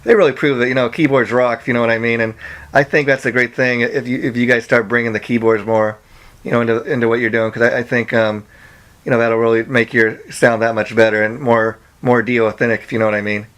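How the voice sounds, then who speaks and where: A male interviewer speaks in a smooth, clear voice at a middling pitch. He rambles through his questions in a casual way, padding them with "you know" and "like", over a phone call. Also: flat delivery, fast talker, fairly bright tone.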